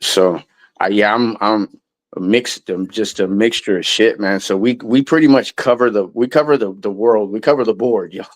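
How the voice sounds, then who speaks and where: A man's voice: fairly low pitch, moderate pace. A man in a casual interview, talking in a loose, rambling way with lots of restarts and some swearing. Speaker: guest musician.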